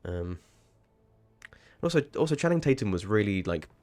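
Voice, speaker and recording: A man's voice: lowish voice, very animated, normal speed, a little mellow. A man chatting casually while streaming a game, rambling and sweary, with sudden startled outbursts. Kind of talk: casual livestream chat.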